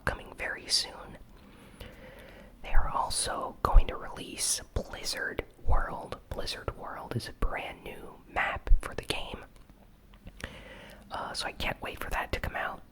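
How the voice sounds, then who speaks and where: This is an ASMR host who whispers through a gaming news recap. The voice is breathy and almost entirely unvoiced. The delivery is hushed and unscripted, with a hesitant flow. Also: very high voice.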